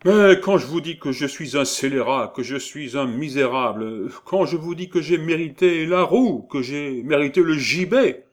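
A man's voice: medium pitch, measured pace, hardly any pauses, a bit bright, pitched above the performer's own voice, natural voice. Guy doing a young student in an audiobook, all melodrama, exclaiming and pleading, voice pushed up. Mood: melodramatic, exasperated. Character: impulsive young male student, melodramatic and self-accusing. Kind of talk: dramatised audiobook reading.

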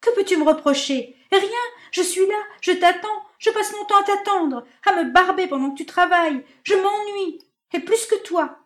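Woman voicing a shrill furious dumped lover. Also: moderate pace. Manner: shrill screaming, high-pitched outbursts.

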